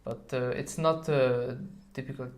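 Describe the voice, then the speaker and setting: A man's voice: medium pitch, steady tone, measured pace, a crowd in the background. A man from Hungary with a Hungarian accent, chatting casually as an interview guest in a somewhat hesitant way. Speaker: podcast guest.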